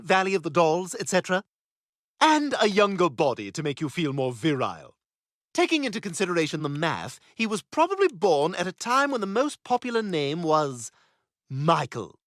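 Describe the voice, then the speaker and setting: A man's voice: high voice, a bit bright, no echo, clean studio sound. An actor voicing an arrogant, precocious baby boy with a posh British accent, talking in a smug, condescending and cutting way. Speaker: therapy patient. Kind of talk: animated sitcom voice acting.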